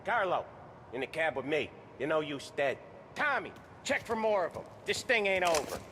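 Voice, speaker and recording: An actor playing a stern, commanding 1930s American mob enforcer in a video game, curtly barking orders. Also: male voice, a little high, expressive, normal speed, bright, forceful tone. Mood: urgent, angry. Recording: clearly noisy action scene.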